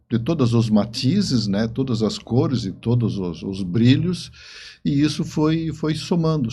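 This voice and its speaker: A man in his seventies with a Brazilian accent answering interview questions conversationally, in a halting way that repeats words. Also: mid-range voice, dark tone. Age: 70s. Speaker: interview guest, writer and engineer.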